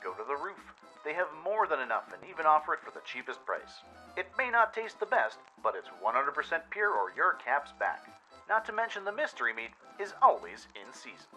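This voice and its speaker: A man acts out a slick radio announcer from a wasteland drama. He pitches sponsors in a bright, forceful voice, lifted above plain narration, with music behind. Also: big pitch swings, fairly quick, rough recording. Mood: salesmanlike.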